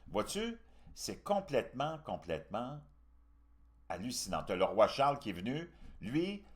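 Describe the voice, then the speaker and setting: A man's voice: medium pitch, sing-song, unhurried. A man from Canada with a Québécois accent gives casual, opinionated political commentary as a host, speaking colloquially and emphatically. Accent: Québécois French.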